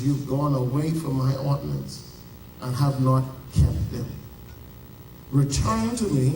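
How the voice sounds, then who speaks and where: High-voiced Bahamian pastor preaching slowly. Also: male voice, darkish tone, slight background noise. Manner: preaching with dramatic pauses and drawn-out emphasis. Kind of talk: sermon. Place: large church. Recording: echoey church heard from a distance.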